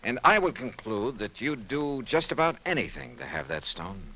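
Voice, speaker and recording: A world-weary investigator in an old radio mystery. His medium voice moves widely in pitch, brisk, clipped and probing. The recording is dull with light background noise. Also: big pitch swings. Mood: probing, firm. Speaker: lead actor. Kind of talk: 1950s radio detective drama acting.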